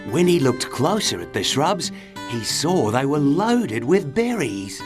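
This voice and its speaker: A woman's voice: rumbling low, very animated, a little slow. A woman narrating a scripted children's story as a storyteller. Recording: guitar music behind narration.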